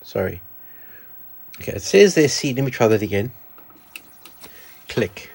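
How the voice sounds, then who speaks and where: A man's voice: medium pitch, sing-song, unhurried, gravelly, a little mellow, husky. A man hosting a casual show-and-tell video, chatting and musing aloud as he demonstrates a product, often correcting himself. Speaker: video channel host.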